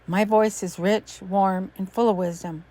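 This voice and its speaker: A woman voicing a wise storyteller with a rich, warm, hushed delivery. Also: mid-range voice, steady tone, a little slow, pitched below the performer's own voice, natural voice. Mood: intimate.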